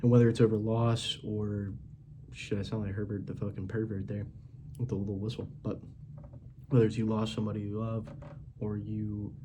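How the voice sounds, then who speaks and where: A man's voice: fairly low pitch, little pitch movement, moderate pace, background noise. A man in his twenties giving a blunt, profane, rambling pep talk as a casual monologue. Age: 20s. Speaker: vlogger.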